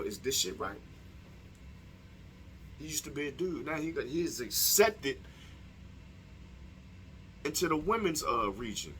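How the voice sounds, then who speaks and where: Man, blunt opinion monologue, lively pitch, faint background hiss. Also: poor audio. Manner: blunt and profane, swings between lowered and raised delivery; direct address to the listener. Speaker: commentator.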